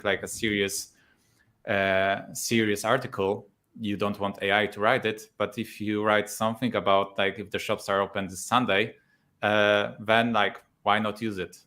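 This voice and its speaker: A man's voice: fairly low pitch, moderate pace. A man in his thirties from Poland with a Polish accent, a YouTuber talking casually as a guest and laughing often. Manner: relaxed, laughs often, many fillers. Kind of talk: casual interview talk.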